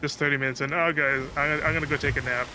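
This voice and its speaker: A man's voice: fairly high pitch, fairly even intonation, fast, bright, forceful tone, a little hiss or hum, poor audio. A man in casual gaming chat, explaining details to his friends in an informal way.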